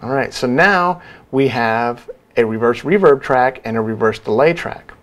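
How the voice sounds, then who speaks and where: A man in his thirties giving an informal software tutorial, casually talking through each step. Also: medium pitch, measured pace. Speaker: sound design tutorial presenter.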